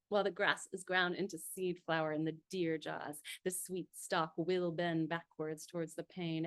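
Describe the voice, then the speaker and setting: A woman's voice: lowish voice, clean tone. A woman instructor reading a poem aloud and leading a seminar discussion, thinking aloud with fillers.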